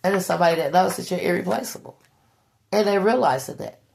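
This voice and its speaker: Blunt, gravelly-voiced woman tarot reader. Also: fairly low pitch, quick pace, a little mellow. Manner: blunt, profane, preachy, repeats herself. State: stuffy nose. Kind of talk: tarot reading monologue.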